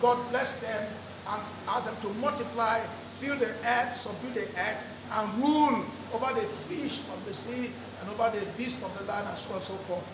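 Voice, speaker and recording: A middle-aged man preaching a sermon from a lectern, drawing out key words slowly and repeating phrases as he builds to emphatic peaks. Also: high voice. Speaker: pastor. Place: church hall with draped walls. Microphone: lectern microphone. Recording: echoey church hall, distant mic, background noise.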